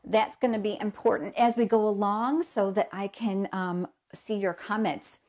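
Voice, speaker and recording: A woman's voice: mid-range voice, steady tone, normal speed, a little mellow. A woman with a cold hosts a casual live stream, chatting along, greeting viewers by name, her voice a little froggy. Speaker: host of a live stamping show.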